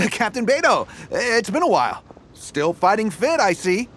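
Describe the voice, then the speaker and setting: Casting: slimy male bandit boss for a game, high-pitched, slow theatrical delivery, obsequious. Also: very animated, a bit bright, slight background noise, exaggerated voice. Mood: mock amused. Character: blustering, fawning male treasure-hoarder gang boss. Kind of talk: video game voice acting.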